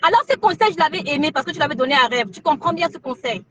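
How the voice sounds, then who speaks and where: A woman's voice: high voice, animated, racing, noisy background. A woman in a heated online debate, pushy and repeating herself to get a word in. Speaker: panelist. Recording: many voices talking over in the background.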